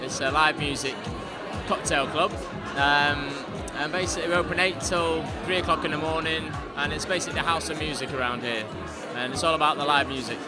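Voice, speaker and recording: A man with a slightly high voice answers questions casually at a lively outdoor event. His talk is relaxed and easygoing, with music and crowd noise filling the background. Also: a little fast. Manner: relaxed, chatty, fills with "um". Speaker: interviewed guest. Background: music and crowd chatter.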